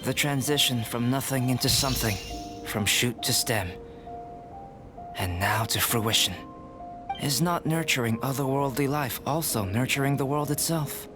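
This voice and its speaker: An actor playing a calm, soft-spoken young alchemist who is an artificial being, speaking in a measured, reflective way in a game cutscene. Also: male voice, medium pitch, natural voice. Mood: contemplative. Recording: game soundtrack music behind.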